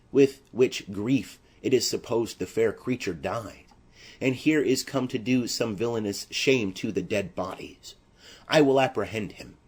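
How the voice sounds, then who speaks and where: A man's voice: medium pitch, darkish tone, breathy edge. A man playing a proud, mournful young noble who declaims formal verse in a tragedy audiobook. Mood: indignant. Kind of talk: classical tragedy audiobook acting.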